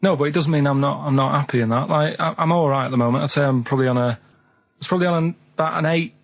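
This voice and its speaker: A man with a Manchester accent chatting casually on the radio in a halting, deadpan, literal-minded ramble. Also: on the high side, creaky. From Manchester, England. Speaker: radio show co-host. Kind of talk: casual chat. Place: radio studio. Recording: dull lo-fi radio recording.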